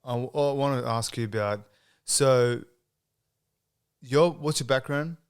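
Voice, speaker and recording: A man's voice: mid-range voice, a little slow, clean tone. A man with an Australian accent hosting a podcast, asking questions in a casual, chatty way and backing up his guest with frequent mm-hmms. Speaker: podcast host. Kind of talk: casual interview conversation.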